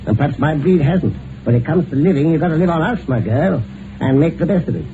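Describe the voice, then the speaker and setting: Casting: wealthy British bully of a husband, possessive, mocking, clipped, crackly narrow-band vintage radio drama. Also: male voice, on the high side, lively intonation, fast. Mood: contemptuous. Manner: sardonic, clipped, theatrical. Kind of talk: old-time radio drama acting. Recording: tinny, very noisy old radio recording.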